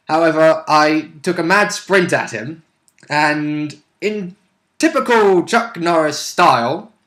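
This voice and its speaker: A man's voice: a little high, fairly bright tone. A man chatting casually as a tabletop player, recounting his character's exploits in a jokey, chuckling way. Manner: jokey, often chuckling while talking. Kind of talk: casual chat.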